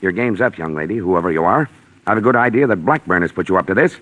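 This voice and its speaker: An American actor playing a stern, suspicious middle-aged gentleman with a blustery, accusing temper in 1940s radio mystery style. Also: deep voice, lively intonation, fast talker, lo-fi sound.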